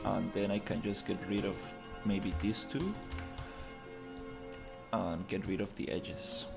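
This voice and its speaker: A man's voice: deep voice, steady tone, slow, gravelly, over music. A man chatting casually on a live stream while he models in 3D, rambling with lots of fillers. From Costa Rica. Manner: laid-back, rambling, many fillers, muttering through his work.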